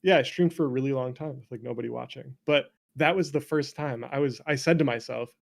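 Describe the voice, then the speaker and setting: A man's voice: medium pitch, fast, gravelly. A young man telling stories casually as an interview guest, informal and self-deprecating, peppering his talk with "like". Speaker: interview guest, streamer and former speedrunner. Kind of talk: casual conversational storytelling.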